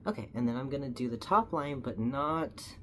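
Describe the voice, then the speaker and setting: A woman's voice: a bass voice, lively intonation, normal speed, slight background noise. Chatty young woman, slightly stuffy. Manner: chatty, self-deprecating, explains step by step. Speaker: makeup tutorial creator. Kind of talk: casual makeup tutorial narration.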